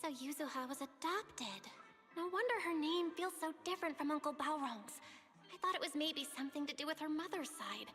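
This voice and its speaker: Bright, high-voiced friendly game heroine. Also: female voice, expressive, fairly quick, long reverb tail, soft background music. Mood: musing. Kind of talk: anime game voice acting.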